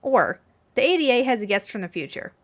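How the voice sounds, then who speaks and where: A woman reading fan fiction aloud as an expressive scripted narration. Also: on the high side, fairly quick. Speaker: podfic reader.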